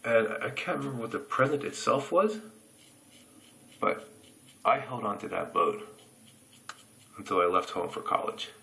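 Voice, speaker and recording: An American man telling a childhood story in a relaxed, reflective way while shaving, recorded on a phone camera in a bathroom. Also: lowish voice, gravelly. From United States. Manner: reflective, unhurried anecdotes with pauses. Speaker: shaving reviewer.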